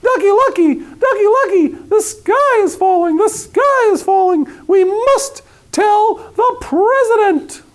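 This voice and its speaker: A man's voice: very high voice, expressive, unhurried, few pauses, pitched above the performer's own voice. A man voicing a dim, panicky storybook chicken, squawking alarmed cries over and over. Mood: frantic alarm. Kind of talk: storybook read-aloud character voice.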